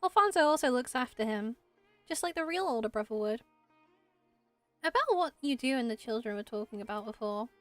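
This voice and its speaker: High-voiced woman reading game dialogue. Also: lively intonation, fairly quick, a bit bright, dry recording, faint music behind. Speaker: amateur voice actor and game player.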